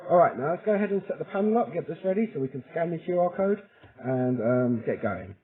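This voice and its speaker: A man's voice: on the high side, animated, quick pace. A man presenting a how-to tutorial in an informal, chatty way, often checking in with the listener as he explains each step. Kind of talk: casual how-to walkthrough. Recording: thin, phone-like narrow-band audio.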